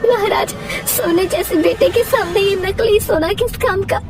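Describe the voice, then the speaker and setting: A woman's voice: very high-pitched, a little slow, over music, voice pushed up. A woman voicing a grieving, trusting village mother in a cartoon moral tale, tearful and imploring. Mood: desperate, devoted.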